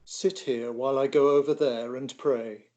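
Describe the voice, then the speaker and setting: Grave, sorrowful male teacher read by a man, mid-pitched, measured and solemn, for a dramatised gospel. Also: steady tone, darkish tone, lightly acted. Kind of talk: dramatised scripture reading.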